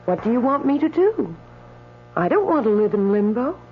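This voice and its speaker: A sweet, teasing spirit, higher-pitched voice. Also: female voice, sing-song, moderate pace, slightly rough, exaggerated voice. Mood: teasing, matter-of-fact. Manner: matter-of-fact, coaxing, gently mocking. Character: sweet, coolly teasing female spirit from the afterlife. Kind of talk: radio drama acting. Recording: dull radio broadcast, faint mains hum.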